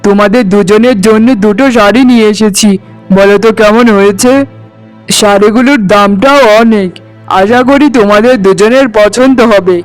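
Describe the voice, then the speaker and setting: A man's voice: high voice, clean tone, lightly acted, poor audio. A man playing a harried village husband in a cartoon, complaining and pleading. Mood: hopeful, pleading. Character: harried village husband of two quarrelling wives. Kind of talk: cartoon dialogue.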